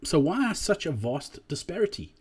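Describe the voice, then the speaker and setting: Mellow, slightly husky man presenting. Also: on the high side, big pitch swings. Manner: deliberate and emphatic, repeating key phrases. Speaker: presenter analysing drive-through performance. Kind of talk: explanatory business presentation voice-over.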